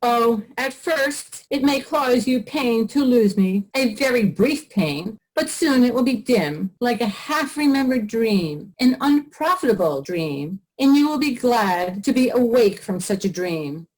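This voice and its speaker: Woman acting gentle high-voiced young sweetheart. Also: animated, measured pace, hardly any pauses, low-quality recording. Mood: sorrowful, resigned. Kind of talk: radio play acting.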